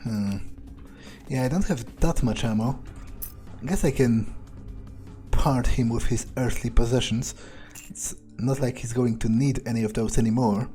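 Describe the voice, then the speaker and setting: A man narrating a video game in character, thinking aloud in an understated, musing way with frequent pauses. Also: mid-range voice, warm tone, soft background music. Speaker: gamer playing in character.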